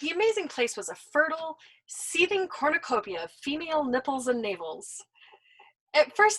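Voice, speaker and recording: Bright, animated woman reading a novel aloud. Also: mid-range voice, rough recording. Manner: expressive, animated reading with small slips. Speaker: storyteller.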